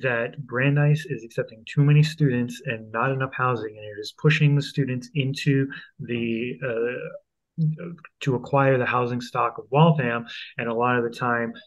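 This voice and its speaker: A man in his thirties hosting a conversational local news discussion, explaining haltingly with repeated restarts. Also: medium pitch, steady tone. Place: home room, indoors. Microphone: earphone headset on video call. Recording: dull, lo-fi video call audio.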